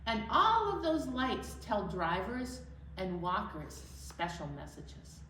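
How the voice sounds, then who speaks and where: A woman's voice: mid-range voice, very animated, slow, fairly bright tone, slight background noise. A woman hosting a children's library storytime, speaking in simple, encouraging phrases for young children and leading sing-alongs. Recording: roomy, distant mic, music.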